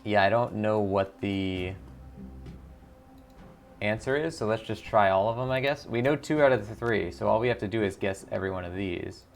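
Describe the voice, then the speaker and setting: A man's voice: fairly low pitch, moderate pace, faint music behind. A man hosting a gaming video, casually commenting and reading game text aloud, often muttering to himself as he thinks.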